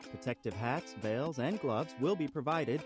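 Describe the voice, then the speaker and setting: A man's voice: mid-range voice, lively intonation, normal speed, music in the background, lightly acted. A man playing a plain-spoken, earnest small-town islander in an improvised audio comedy, saying absurd things straight-faced. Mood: straight-faced, announcing. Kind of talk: improvised comedy and mock announcements.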